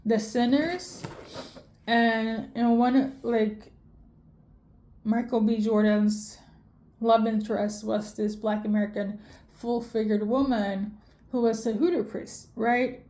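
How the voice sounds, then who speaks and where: Mellow-voiced woman rambling through a reading. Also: on the high side, steady tone, slow. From Sweden. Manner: rambling, many fillers and self-corrections. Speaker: tarot reader. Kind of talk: casual spiritual reading.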